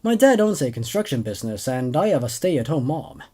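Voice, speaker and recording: A young man narrating a story as host, reading expressively and in a measured way with dramatic pauses. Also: mid-range voice, sing-song, warm tone. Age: young adult. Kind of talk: story narration with casual commentary.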